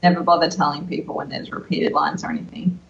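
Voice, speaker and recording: A woman's voice: deep voice, little pitch movement, fast, gravelly. A woman co-hosting a casual podcast discussion, heard in slightly lo-fi sound. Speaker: podcast co-host. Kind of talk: casual panel chat.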